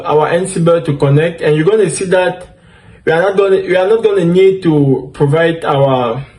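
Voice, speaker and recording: A French-native man walks viewers through a server setup tutorial with a fairly high voice. He speaks slowly, with plenty of fillers and self-corrections, and keeps calling each step awesome. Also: darkish tone. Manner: unhurried, thinks aloud with many fillers and self-corrections; upbeat, keeps saying things are awesome. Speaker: tech tutorial host. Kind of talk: screen-recorded coding tutorial.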